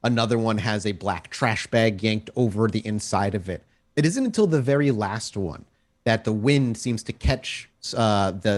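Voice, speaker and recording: A man acting as storyteller, improvising descriptive narration for a tabletop roleplaying game in a halting way. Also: mid-range voice, a little mellow.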